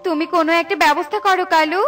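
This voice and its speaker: A woman's voice: very high-pitched, steady tone, rapid-fire, fairly bright tone, faint music behind. A woman voicing a hungry, impatient, demanding female crow in a children's cartoon, with a whiny, insistent manner. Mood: whiny, desperate. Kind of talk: Bengali children's cartoon voice acting.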